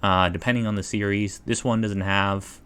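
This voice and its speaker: Male streamer, low-pitched, casual reaction commentary; halting, meandering, think-aloud delivery. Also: steady tone, a bit bright, clear, resonant tone. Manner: hesitant, rambling, thinking aloud. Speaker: livestreamer.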